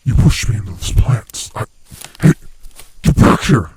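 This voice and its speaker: A man voicing a sinister, gloating monster who hunts human prey, with a husky, rasping voice and a slow, taunting manner, in a horror ASMR roleplay. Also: sing-song, a little mellow, heavily acted. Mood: startled, annoyed. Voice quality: husky, breathy, rasping low voice. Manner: slow, menacing, theatrically taunting.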